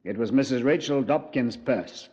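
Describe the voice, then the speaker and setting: A male actor plays a British police commander in vintage radio drama. He recounts things in a measured, understated way, with a middling voice on a dull, band-limited recording. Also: mid-range voice, warm tone, lightly acted. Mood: composed, recounting. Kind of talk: 1950s radio drama, conversational narration.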